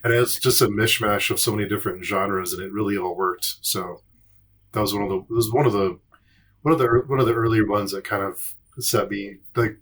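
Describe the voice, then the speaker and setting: A man in a casual podcast chat, speaking haltingly and repeating words as he thinks. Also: fairly low pitch, steady tone, a bit bright, slightly rough. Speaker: podcast panellist.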